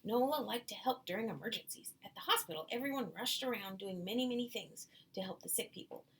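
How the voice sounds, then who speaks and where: A woman reads a picture book aloud for children at a medium pitch. She narrates the story and adds little asides for young listeners. There is faint noise in the background. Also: lively intonation, quick pace, fairly bright tone, rough recording. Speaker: nurse reading aloud to children.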